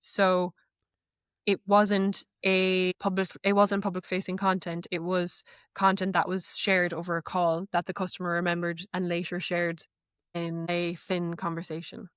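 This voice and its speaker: A woman gives explanatory answers as an interview guest. Her voice is smooth and clear at a middle pitch, with fairly even intonation, recorded dry. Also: measured pace, no room sound. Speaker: guest, AI knowledge manager.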